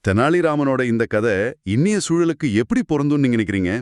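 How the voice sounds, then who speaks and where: Animated man co-hosting, very dry sound. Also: medium pitch, brisk, dry recording. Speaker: podcast co-host asking questions and summing up. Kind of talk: scripted conversational discussion.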